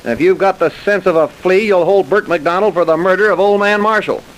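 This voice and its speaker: An actor playing a confident, impatient forensic investigator, assertive and colloquial, in vintage radio crime drama style. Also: male voice, high voice, brisk, natural voice, poor audio. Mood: impatient, explaining. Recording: hissy vintage radio recording.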